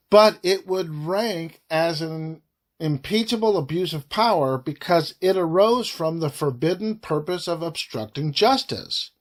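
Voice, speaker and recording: Man, slightly high-pitched, very slow pace, formal document reading, deliberate broken phrasing. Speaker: reader of a government report.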